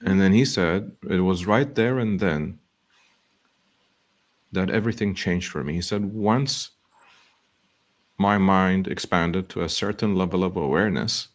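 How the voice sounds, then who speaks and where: Very deep-voiced earnest motivational man. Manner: earnest, quotes sayings, slow emphasis. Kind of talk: motivational talk.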